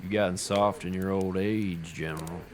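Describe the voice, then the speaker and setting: Low, sly, taunting warlord played by a man. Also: fairly even intonation, natural voice. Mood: sly, menacing. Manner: mocking, sly, deliberate. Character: taunting, bitter male enemy warlord. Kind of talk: fantasy audio drama acting.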